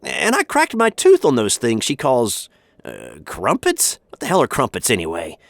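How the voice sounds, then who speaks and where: A man's voice: medium pitch, wildly swooping pitch, moderate pace, creaky, no echo, clean studio sound. A man playing an exasperated, awkward American captive in a radio sitcom, polite but indignant, with an American accent. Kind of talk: comedy radio sitcom acting.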